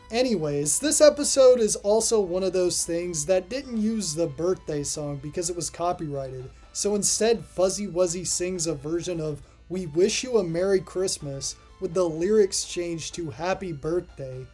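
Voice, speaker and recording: Music plays behind a mid-pitched host recording a scripted review voice-over. The delivery is casual and dry, with offhand asides, like someone working through a ranked list of cartoon episodes. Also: a little mellow, breathy edge.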